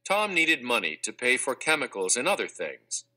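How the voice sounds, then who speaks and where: A man narrating a children's textbook read-aloud in a clear, measured, expressive scripted delivery. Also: mid-range voice. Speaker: audiobook narrator.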